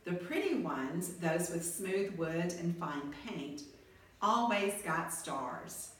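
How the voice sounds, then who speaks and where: Woman storyteller, lower-pitched, expressive read-aloud for children; very echoey room with faint noise. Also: takes it slowly, distant mic.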